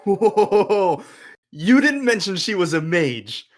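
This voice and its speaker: An excitable, goofy teenage boy acted by a man at a script table read. His slangy, enthusiastic delivery swings widely in pitch. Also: takes it slowly, heavily acted. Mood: excited. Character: excitable, laid-back teenage boy, loyal goofy best friend.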